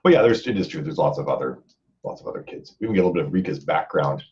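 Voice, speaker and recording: A man with a very deep voice chats casually as a podcast host. He talks quickly and at length, rambling from point to point. The sound is slightly dull. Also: animated, a little mellow. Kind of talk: casual group podcast chat.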